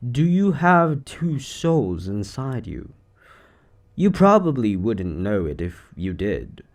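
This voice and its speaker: A man playing an eccentric, secretly sinister occult shop owner in a roleplay monologue, chatty and offhand with theatrical, drawn-out words. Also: fairly low pitch, heavily acted. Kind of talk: scripted roleplay monologue to the listener.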